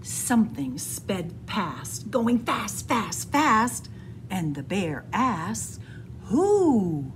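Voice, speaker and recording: A woman reading a picture book aloud in an animated, deliberate, sing-song storytelling style. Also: mid-range voice, a little hiss or hum. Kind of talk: expressive children's read-aloud storytelling. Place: indoors, room with bookshelves.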